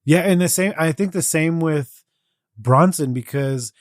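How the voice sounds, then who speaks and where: A man's voice: on the high side, measured pace, no room sound, clean studio sound. A man co-hosting a podcast, talking in an earnest, loose and meandering conversational way. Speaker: podcast co-host. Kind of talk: casual podcast discussion.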